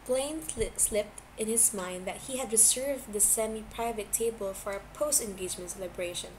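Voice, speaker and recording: Woman, amateur fiction narrator, scripted reading, occasional stumbles, medium pitch, noticeable recording noise. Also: moderate pace. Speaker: amateur audiobook reader. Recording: clearly noisy amateur home recording.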